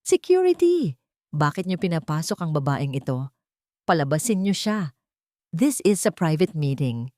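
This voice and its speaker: A woman voicing a haughty, scheming wife with a sharp, bossy manner in an audio drama. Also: low voice, very animated, normal speed, pauses often, dry recording, studio quality. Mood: haughty outrage.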